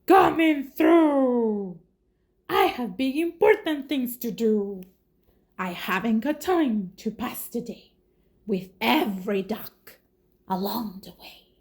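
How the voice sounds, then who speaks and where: A woman's voice: fairly high pitch, animated, slow, a little mellow. A woman voicing a big, self-important dump truck with a pompous, honking delivery in a children's storybook. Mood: brusque, pompous.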